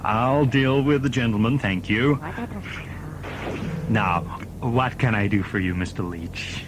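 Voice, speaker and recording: A man's voice: mid-range voice, slow pace, noisy background, lightly acted. An actor playing a polite, formal male train steward in an audio drama. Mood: smooth, evasive. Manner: formal, deferential.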